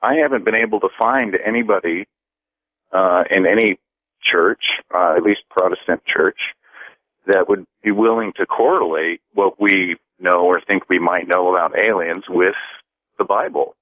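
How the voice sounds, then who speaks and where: Man, radio caller, low-pitched, halting and earnest, narrow telephone audio. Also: steady tone, no echo. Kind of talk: earnest call-in conversation. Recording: narrow phone-line sound.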